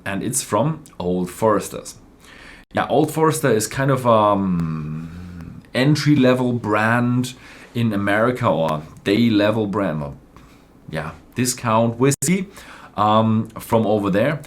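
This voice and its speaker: Casting: male presenter, deep-pitched voice, for informal news updates with a slightly halting, self-correcting delivery.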